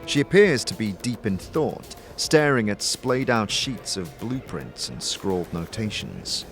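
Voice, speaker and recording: A man's voice: on the low side, lively intonation, a little slow, over music. A man delivering scripted second-person fantasy narration as a storyteller. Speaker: narrator and lead actor.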